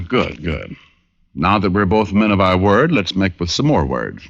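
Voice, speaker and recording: An actor playing a self-assured, wisecracking male private detective in an old-time radio drama, delivering wry, deadpan quips. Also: low-pitched, measured pace, gravelly, exaggerated voice. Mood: dry, probing. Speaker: lead actor and narrator. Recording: muffled vintage radio recording with music.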